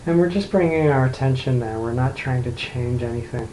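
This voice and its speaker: A man leading an unscripted guided meditation, speaking gently and unhurriedly with long pauses between phrases. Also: mid-range voice. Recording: roomy echo, light background noise.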